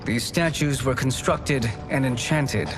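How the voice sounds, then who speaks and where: A man playing a smooth-talking, calculating villain in a video game cutscene, formal and self-assured. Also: mid-range voice, measured pace, over music, close to an everyday voice. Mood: assured explaining.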